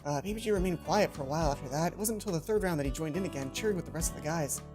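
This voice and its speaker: A woman's voice: low voice, racing. A woman let's play host reading visual novel text aloud with casual asides, sometimes stumbling and correcting herself. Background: game music.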